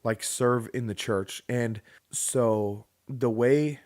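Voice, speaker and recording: A man's voice: medium pitch, steady tone, takes it slowly, a little mellow. Rambling man telling a story. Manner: rambling with restarts, joking asides. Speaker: podcast co-host. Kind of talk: casual storytelling chat.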